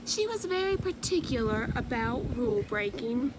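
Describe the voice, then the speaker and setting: A woman reading a children's storybook aloud in an expressive, measured narrating manner. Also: a little high, noisy background. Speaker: storybook reader.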